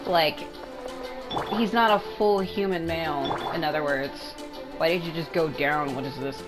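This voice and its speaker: A woman with a lowish voice gives casual gameplay commentary over clearly audible game music. She explains things in a rambling way, circling back to rephrase herself as she goes. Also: unhurried. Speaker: gaming content creator. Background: video game music.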